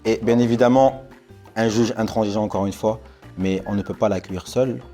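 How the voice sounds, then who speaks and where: Low-voiced man hosting a game. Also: brisk, faint music behind. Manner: energetic, playful announcer. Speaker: game show host. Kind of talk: hosting a game show, lively banter.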